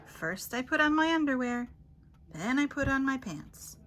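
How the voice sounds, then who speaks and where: A woman reading children's picture books aloud as a storyteller, theatrical and expressive with dramatic pauses and emphasis. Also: high voice, slight background noise.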